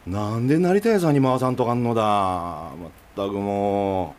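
Actor as an animated, nagging Aichi brother. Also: male voice, fairly low pitch, normal speed, lightly acted. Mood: blunt, grumbling. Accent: Mikawa, Aichi dialect. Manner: blunt, nagging. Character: exasperated younger brother running a small island family business. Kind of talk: radio drama acting.

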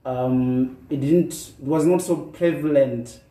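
A man's voice: medium pitch, takes it slowly, warm tone. Echoey South African man, slightly distant. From rural South Africa. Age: 30s. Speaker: podcast guest. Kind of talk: casual reflective interview answers. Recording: roomy echo, distant mic, faint noise.

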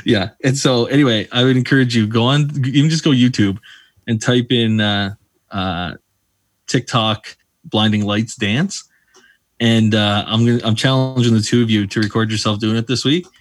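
A man's voice: mid-range voice, fairly even intonation, moderate pace, no echo. A man in his late thirties co-hosting a podcast, talking casually with enthusiasm and playful teasing. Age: 30s. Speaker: podcast co-host. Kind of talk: casual chat.

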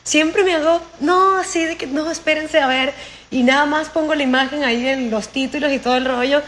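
A woman's voice: sits high, a little fast, a bit bright, slight background noise. A woman from Venezuela with a Venezuelan accent tinged with Mexican, chatting as a podcast guest in an upbeat, colloquial way. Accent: Venezuelan Spanish with a Mexican touch. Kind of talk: casual interview chat.

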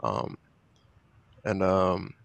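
Gravelly-voiced young Dominican student. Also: male voice, low-pitched, animated, extremely slow, dry recording. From Dominican Republic. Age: young adult. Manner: casual, many fillers like um and like. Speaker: student guest. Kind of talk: online panel Q&A.

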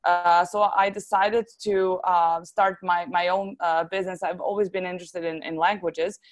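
A woman's voice: fairly low pitch, little pitch movement, moderate pace. A woman from Serbia with a Serbian accent, talking casually and chattily as an interview guest. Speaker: interview guest, translation agency founder.

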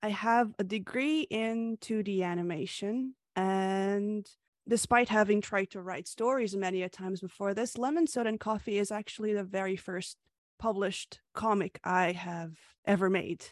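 A woman's voice: medium pitch, moderate pace, no echo. Chatty young woman, dry close sound. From Hungary. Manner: chatty, laughs often, frequent fillers. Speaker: comic creator, guest. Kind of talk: casual interview answers.

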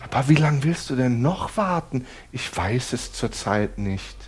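A man playing a rigid, plan-obsessed husband in a naturalistic audio drama, speaking in a halting, pedantic, insistent way. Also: mid-range voice, expressive, breathy edge. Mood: insistent, irritated. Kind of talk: naturalistic improvised-sounding audio drama dialogue.

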